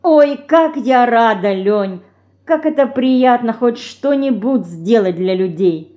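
A woman's voice: a little high, takes it slowly, dark tone, voice pushed up. A woman voicing a fiery, impulsive young girl with exclamatory delivery in a storybook reading. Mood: delighted. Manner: impetuous, exclamatory. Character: fiery, impulsive young girl, tender yet quick-tempered.

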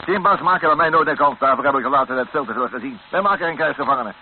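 An actor playing a brash, ruthless mercenary captain in a radio drama, blunt and commanding. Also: male voice, mid-range voice, rapid-fire, lightly acted. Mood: hard, callous. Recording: tinny band-limited old radio recording, noisy.

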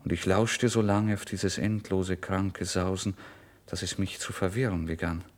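A man narrating a literary first-person story in a brooding, expressive way, moving between hushed and emphatic delivery. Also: low-pitched, unhurried. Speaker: audiobook narrator.

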